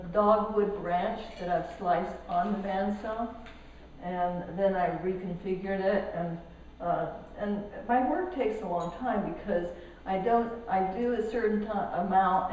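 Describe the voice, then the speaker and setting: A woman from Colombia, over sixty, giving a casual artist talk about her work, halting and restarting as she goes. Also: mid-range voice, darkish tone, recorded from a distance, muffled recording. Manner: halting, with many restarts and fillers. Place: gallery hall. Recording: echoey hall, speaker off mic, faint noise.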